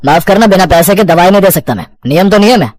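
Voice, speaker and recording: An actor voicing a stern, unbending doctor who speaks in a cold, matter-of-fact way in a cartoon story. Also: male voice, high voice, animated, fast, dry recording.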